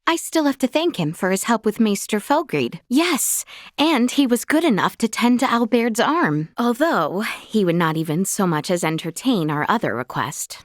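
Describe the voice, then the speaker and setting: A woman's voice: mid-range voice, no echo, clean studio sound, close to an everyday voice. A woman playing an earnest, gracious young noblewoman in a fantasy video game, speaking in formal, old-fashioned, courteous phrasing. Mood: calm, measured. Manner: formal, archaic, courteous diction.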